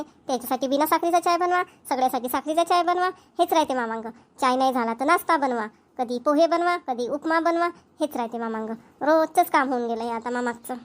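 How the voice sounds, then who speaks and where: A woman's voice: high-pitched, lightly acted. A woman playing a weary, solitary young daughter-in-law in a family cartoon, grumbling plainly with a Vidarbha Marathi accent. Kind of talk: amateur family cartoon voice acting.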